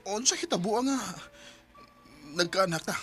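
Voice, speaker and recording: A devoted husband in a radio drama, his voice a little higher than most men's. He sounds earnest and emotional, speaking with a Cebuano accent. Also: lively intonation, unhurried, soft background music. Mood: shocked, confused. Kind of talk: Filipino Bisaya radio drama acting.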